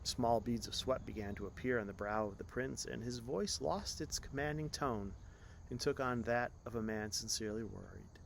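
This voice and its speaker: A man reading a children's-style story aloud as an expressive storyteller. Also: mid-range voice, moderate pace, creaky, slight background noise. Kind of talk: expressive scripted story narration.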